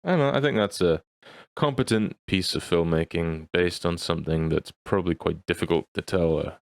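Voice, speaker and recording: A man with a low, deep voice chats casually as a podcast co-host. He qualifies his opinions as he goes, in a hesitant way. The sound is dry, with very little room. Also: normal speed, gravelly, dry recording.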